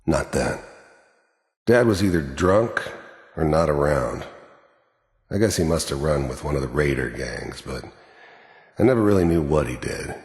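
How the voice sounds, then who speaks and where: A hardened, world-weary mercenary from a video game. His voice sits extremely low and crackles with gravelly fry. He talks in plain, bitter, tough-guy phrases that trail off into a long, echoing reverb. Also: lively intonation, measured pace, pauses often, a little mellow, exaggerated voice. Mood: regretful. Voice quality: deep gravelly voice. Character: weary, hardened middle-aged mercenary killer, bitter and cynical. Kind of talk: video game character monologue. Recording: echoey with long reverb tail.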